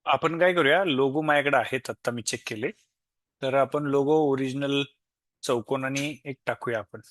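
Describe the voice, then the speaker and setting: A man with an Indian Marathi accent presents an informal screen tutorial. His voice sits at a medium pitch, and he explains each step, dropping in English tech terms. The recording is close and dry, with hardly any room sound. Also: normal speed, fairly bright tone, no echo. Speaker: software demo presenter.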